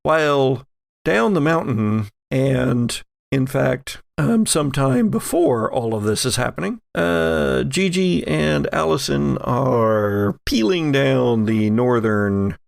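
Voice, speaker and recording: Guy narrating a tabletop game, chatty and halting, fry in his voice, dry close sound. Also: mid-range voice, expressive, dry recording. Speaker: game master.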